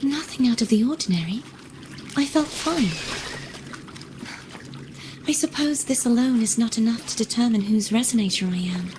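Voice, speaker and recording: An actress playing a composed young woman in a video game, earnest and measured, slightly formal, guiding with mysterious powers. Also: a little high, background noise, lightly acted. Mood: calm, focused.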